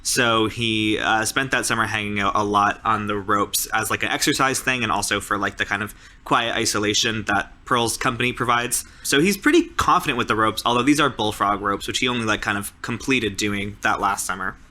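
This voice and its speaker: A man's voice: lowish voice, brisk, hardly any pauses, bright, forceful tone. A man improvising narration as a player in a tabletop roleplaying game, in a casual, informal way. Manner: informal, peppered with "like" and "sort of".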